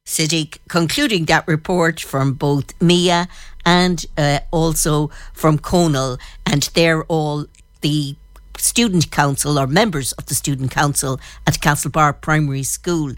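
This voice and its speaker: A woman delivers a radio news report and interview questions. Her voice sits fairly low, and the sound is close and dry. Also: fairly even intonation, measured pace, no echo.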